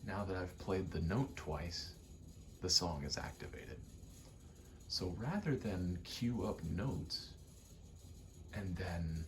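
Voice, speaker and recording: A man with a very deep, hushed voice talks in a casual ASMR ramble about what he is doing in a game. He takes his time, moving slowly in short, halting pieces and often dropping into a whisper. There is faint noise behind him. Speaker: ASMR creator, gamer; actor and stage combatant.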